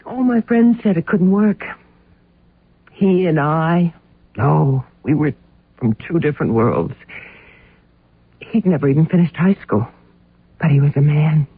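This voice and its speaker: Evasive housewife, very husky woman's voice, hesitant, protesting, radio drama, thin muffled vintage sound. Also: low voice, animated, measured pace, long pauses, dry recording, exaggerated voice. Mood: confiding. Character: defensive, plain, evasive housewife under questioning. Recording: muffled narrow-band old radio sound.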